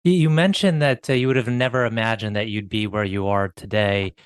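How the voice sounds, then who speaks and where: Low-voiced man asking measured questions. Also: steady tone, clear, resonant tone, no room sound, clean studio sound. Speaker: interviewer host. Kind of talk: interview questions.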